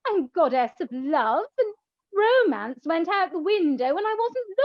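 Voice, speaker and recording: An actress playing a naive, dramatic goddess of love in a comic radio play, speaking with theatrical incredulity, on a slightly dull, roomy recording. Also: female voice, extremely high pitch, very animated, measured pace, exaggerated voice. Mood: dismayed, wistful.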